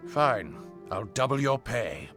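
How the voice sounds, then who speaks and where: A man's voice: mid-range voice, sing-song, slow, fairly bright tone, faint music behind, full character voice. A man voicing a haughty, cowardly, self-serving prince in a video game, with a pompous drawl. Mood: indignant. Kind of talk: video game cutscene acting.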